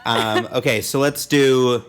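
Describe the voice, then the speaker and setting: A woman's voice: a bass voice, steady tone, slow, smooth. A woman co-hosting a comedy podcast, trading casual banter in a dry, wry manner. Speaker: podcast co-host.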